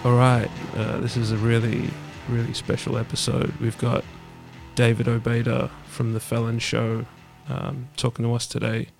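Gravelly, flat-voiced podcast host man. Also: mid-range voice, music in the background. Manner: easygoing, halting questions. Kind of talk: podcast interview.

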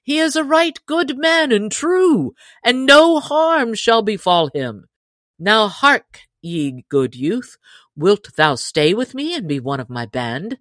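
A woman's voice: on the high side, very animated, slow, fairly bright tone, dry recording, studio quality, voice pushed up, heavily acted. A woman voicing a jolly, bold male outlaw leader who speaks in hearty, commanding old-fashioned speech.

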